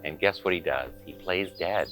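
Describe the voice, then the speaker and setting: A man reading a picture book aloud to children in an expressive, dramatic storytelling manner. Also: low voice, faint music behind. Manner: expressive, dramatic pauses and exclamations.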